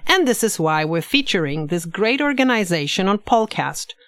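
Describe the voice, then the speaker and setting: A woman hosting a podcast, reading a scripted introduction in a lively, expressive way. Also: medium pitch, moderate pace, dry recording, studio quality. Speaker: podcast host. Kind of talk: scripted narration.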